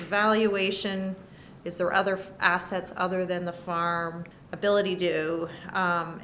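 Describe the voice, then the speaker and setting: A woman speaks as a lawyer guest, seated at a studio table. She answers in a measured way and works through her points as lists. Her voice sits in an ordinary mid range. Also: medium pitch, fairly bright tone, a little hiss or hum. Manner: measured, explains by listing points. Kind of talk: interview answers.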